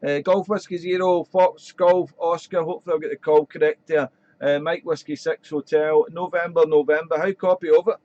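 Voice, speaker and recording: A man chatting on amateur radio from his radio shack, rambling and friendly, his voice slightly croaky. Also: a little high, steady tone, moderate pace, a little mellow, dry recording, muffled recording. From Wales. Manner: chatty, rambling, fills with "there". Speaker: ham radio operator. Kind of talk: casual radio chat.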